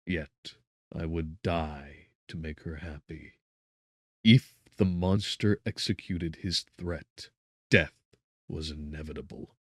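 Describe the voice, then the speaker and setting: Very deep-voiced man, solemn dramatic narrator. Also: a bit bright, no echo, clean studio sound. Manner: solemn, theatrical, measured with emphatic swells. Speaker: audiobook narrator. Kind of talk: dramatic scripted narration of a gothic novel.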